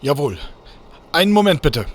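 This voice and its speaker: An actor playing an anxious subordinate soldier in an audio drama. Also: male voice, a little high, very animated, moderate pace. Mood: obedient. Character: anxious subordinate German soldier on radio duty. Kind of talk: cinematic audio drama acting. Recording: very loud background noise.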